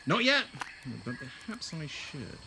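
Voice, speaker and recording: A man playing a gentle, war-scarred wanderer in an audio drama, with an earnest, hesitant delivery. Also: fairly high pitch, wildly swooping pitch, measured pace, gravelly, noisy background, exaggerated voice. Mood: awkward. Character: gentle, awkward, war-scarred male wanderer with strange powers.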